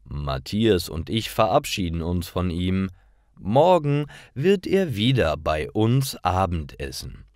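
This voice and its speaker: A man reading scripted stories aloud for language learners in a careful, deliberate manner. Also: sits low, big pitch swings, darkish tone, no echo, clean studio sound.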